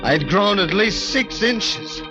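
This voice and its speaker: A man's voice: high voice, sing-song, slow, music in the background, heavily acted. An actor playing a tormented, violent giant of a man in a vintage radio drama, intense and melodramatic. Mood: anguished. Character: tormented growing giant man, violent, desperate, former freak.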